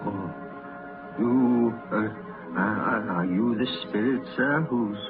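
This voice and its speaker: Scared old miser, stammers and repeats himself, slow, old thin radio sound w music behind. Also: male voice, lowish voice, creaky, narrow, tinny audio. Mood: frightened. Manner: stammering, repetitive, faltering. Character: frightened, pleading old miser haunted by spirits. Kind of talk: 1930s radio drama acting.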